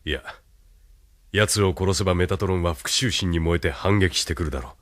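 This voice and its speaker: A man acting in a Japanese audio drama as a cold, calculating military superior with quiet menace. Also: sits low, brisk, no echo, clean studio sound.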